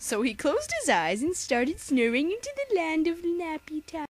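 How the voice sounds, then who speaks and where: A woman's voice: high voice, sing-song, normal speed, dry recording, soft background music. A woman co-narrating a fan-made comedy spoof, telling the story in a slangy, mock-dramatic way. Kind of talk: comic storytelling narration of an abridged game parody.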